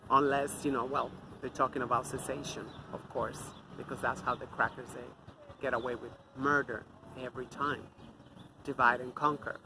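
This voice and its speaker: A man's voice: medium pitch, pushed and bright, slight background noise, low-quality recording. Angry man ranting outdoors. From Mexico. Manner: angry, profane, scornful. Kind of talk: off-the-cuff ranting monologue.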